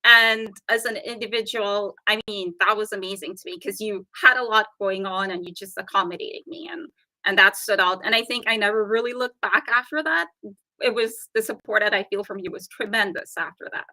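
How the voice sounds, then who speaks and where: A woman with a softened Trinidadian accent, a guest talking earnestly and explaining her ideas in a casual interview chat. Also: medium pitch, a little fast, no echo, rough recording.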